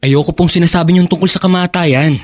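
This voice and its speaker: Man as a high-voiced, earnest young grandson. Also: steady tone, fast talker, darkish tone, no room sound, clean studio sound, natural voice. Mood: earnest, worried. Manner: earnest, tender, respectful. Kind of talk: radio drama acting.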